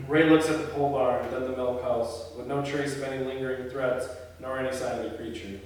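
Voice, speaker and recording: A man's voice: mid-range voice, fairly even intonation, moderate pace. Man in a very echoey, distant recording. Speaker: film director leading a script read-through. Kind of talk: casual production discussion mixed with reading screen directions aloud. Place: indoors in a reverberant room. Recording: very echoey room, distant mic, faint noise.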